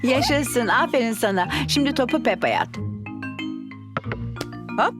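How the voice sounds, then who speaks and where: Kind cartoon mum pig, highish n lively, playful, encouraging. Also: female voice, a little slow, over music. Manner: gentle, encouraging. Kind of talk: children's cartoon dub.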